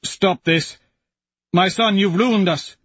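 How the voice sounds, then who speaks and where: An older aristocratic father, loud and anguished, played by a male reader. His voice jumps high and strains against the shouting. The sound is dry and slightly dull. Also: slow pace, breathy edge, no room sound, exaggerated voice. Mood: furious.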